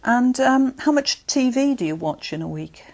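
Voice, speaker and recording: Woman examiner, measured scripted prompts, expressive melodic delivery. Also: high voice. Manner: measured, clear prompting with brief acknowledgements. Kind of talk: scripted exam questions.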